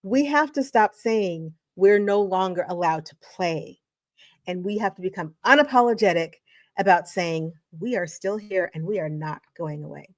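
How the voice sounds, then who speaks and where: A woman's voice: on the low side, normal speed, dry recording. A woman educator in her forties or fifties giving emphatic, motivational video commentary, repeating phrases for effect. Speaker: educator and channel host.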